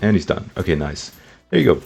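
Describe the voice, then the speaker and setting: Low husky man narrating gameplay. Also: very animated, a little fast, a little mellow. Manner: upbeat, talks to viewers as guys. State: slightly sick, rough throat. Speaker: gaming YouTuber. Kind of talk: casual gameplay commentary.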